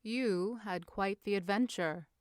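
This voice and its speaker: Caring young romantic gentleman, read by a woman: gentle, reassuring, slow delivery, dry sound. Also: medium pitch, no room sound, lightly acted. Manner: soft, reassuring.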